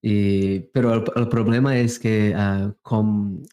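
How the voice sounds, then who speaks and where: Slow, low-voiced man with Portuguese-influenced Spanish. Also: little pitch movement, dark tone. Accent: non-native, Portuguese-influenced Spanish. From Brazil, raised in England from thirteen. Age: 30s. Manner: halting, searching for words, mixing languages. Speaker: interview guest. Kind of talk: casual interview chat.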